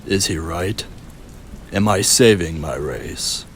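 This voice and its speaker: A man voicing a noble, weary, resolute robot leader in a comic dub, grave and measured. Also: sits low, slight background noise. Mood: grave, earnest. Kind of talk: fan comic dub, solemn dramatic speech.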